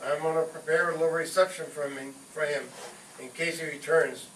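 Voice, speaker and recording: A man as a high-voiced, blustering hot-headed father. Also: steady tone, a little fast, a bit bright, lightly acted. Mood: vengeful, bossy. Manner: pompous, indignant, theatrical. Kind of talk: old-time radio comedy acting. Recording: roomy distant stage recording, faint noise.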